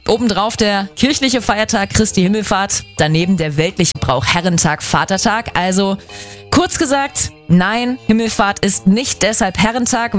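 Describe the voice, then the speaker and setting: A speaker giving a scripted, didactic explanation in orderly numbered points. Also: mid-range voice.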